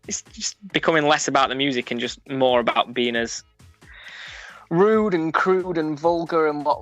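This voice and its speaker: A man's voice: mid-range voice, fairly bright tone, no room sound. A young man from Sheffield with a broad Sheffield accent, a musician speaking frankly in a casual radio interview, often restarting his sentences. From Sheffield, England. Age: young adult. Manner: frank, many restarts and fillers. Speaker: musician guest. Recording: dull, dry sound with music under it.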